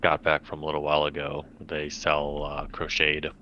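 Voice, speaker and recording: A man's voice: rumbling low, monotone, moderate pace, sharp tone. A man chatting casually as a player on a gaming podcast. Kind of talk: casual chat. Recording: dull, noisy home recording.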